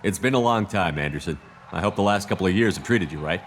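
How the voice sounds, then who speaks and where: A man's voice: deep voice, expressive, fast, a little hiss or hum. A man playing a stoic, determined space commander in a video game, serious and direct.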